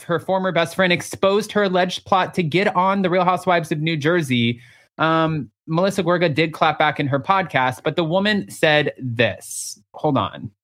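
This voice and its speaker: A man's voice: fairly high pitch, a bit bright. A man co-hosting a gossip show, chatting casually with a sassy, dramatic, emphatic delivery. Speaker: co-host of a celebrity gossip show. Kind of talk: casual reality-TV gossip chat, reading out quotes.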